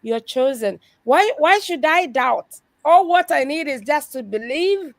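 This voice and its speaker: A woman speaks slowly and earnestly, her voice set a little high. She gives a testimony of faith with soft laughs along the way. The sound is dry and close. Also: expressive, no echo. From Kenya. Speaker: guest. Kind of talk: faith-based conversation.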